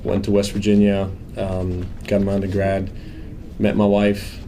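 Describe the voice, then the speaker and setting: Croatian-accented businessman, low and level voice, plain earnest guest. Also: monotone, measured pace, warm tone, a little hiss or hum. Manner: earnest, plainspoken, frequent ums. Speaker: financial planning firm owner. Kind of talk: casual interview.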